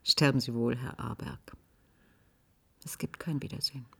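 A woman playing a resigned, fatalistic doctor with a husky voice in a radio drama. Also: a bass voice, steady tone, normal speed, pushed and bright, heavily acted. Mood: bleak, hushed.

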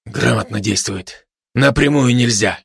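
A man's voice: medium pitch, measured pace, vocal fry, slightly rough, dry recording, clean studio sound, exaggerated voice. Man as a terse military dog veteran. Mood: approving. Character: gruff battered special-forces dog, terse military leader.

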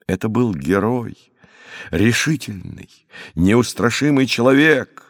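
A man narrating an audiobook story expressively, with dramatic, clearly phrased scripted reading. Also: medium pitch, lively intonation, slow pace, no echo, clean studio sound.

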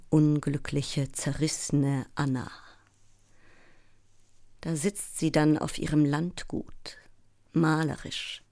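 A woman playing a sleepless, overwrought actress close to breakdown in a theatrical monologue, intense and fragmented, often slipping into breathy whispers. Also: low-pitched, darkish tone, no echo, full character voice. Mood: scornful, agitated. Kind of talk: theatrical audio drama monologue.

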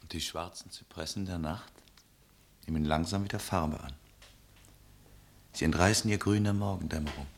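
Very low male voice, tender traveller, lyrical storytelling, solemn, radio drama. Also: normal speed, creaky, pauses often. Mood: dreamy, hushed. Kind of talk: literary radio play monologue.

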